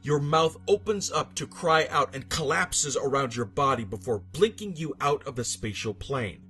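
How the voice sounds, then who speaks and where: A man narrates a scripted horror story in a mid-pitched voice. His delivery is theatrical and solemn, with deliberate phrasing. Music plays behind him. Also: animated, bright, forceful tone. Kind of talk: dramatic scripted creepypasta narration. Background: background music.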